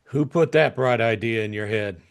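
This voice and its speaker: A man's voice: lowish voice, normal speed, creaky, clean tone. A man acting a terse hard-boiled detective. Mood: harsh, scornful. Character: hard-boiled, cynical male private detective, world-weary. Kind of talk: audiobook character acting.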